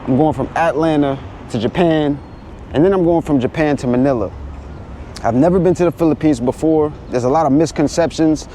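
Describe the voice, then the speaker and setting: Upbeat New travel vlogger. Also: male voice, fairly high pitch. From New York. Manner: upbeat, rushed on the move. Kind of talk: casual travel vlog to camera. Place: airports and city streets. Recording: loud background noise on location.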